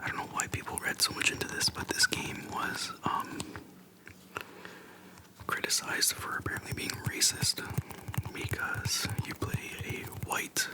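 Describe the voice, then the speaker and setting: Asmr host whispering softly right up on the mic, relaxed casual rambling, halting. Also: rough recording. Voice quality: soft breathy whisper. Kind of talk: casual rambling game retrospective. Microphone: close microphone.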